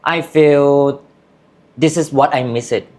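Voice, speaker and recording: A woman's voice: very deep voice, steady tone, slow, darkish tone. A woman from Thailand with a Thai accent, speaking candidly as an interview guest in loose, broken English. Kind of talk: casual interview chat.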